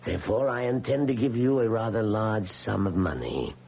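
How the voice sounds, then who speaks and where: An actor playing a smooth, commanding elderly businessman in an old radio drama, measured, coaxing and condescending. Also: fairly low pitch, very animated, exaggerated voice. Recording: dull, hissy vintage radio recording.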